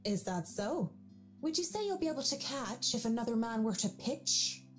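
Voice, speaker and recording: A woman voicing a sharp-witted, principled young woman in a game, speaking in a dry, reproachful way. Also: on the high side, big pitch swings, normal speed, soft background music, voice pushed up. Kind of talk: visual novel character voicing.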